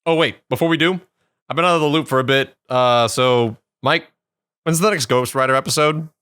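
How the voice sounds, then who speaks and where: A man's voice: medium pitch, normal speed, fairly bright tone, clean tone, no room sound, studio quality. A man acting as a stand-in co-host, reading out questions and trading scripted banter.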